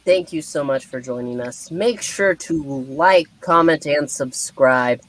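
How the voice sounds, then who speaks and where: A man's voice: on the high side, takes it slowly, a bit bright, no echo. A man hosting a comic show, casually addressing viewers in a sign-off. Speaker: show host.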